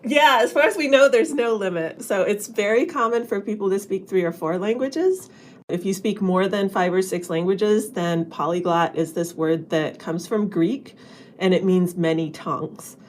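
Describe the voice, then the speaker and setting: A woman's voice: medium pitch, normal speed, a little hiss or hum. A woman linguistics professor explaining ideas conversationally to children, with casual fillers. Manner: chatty, with fillers.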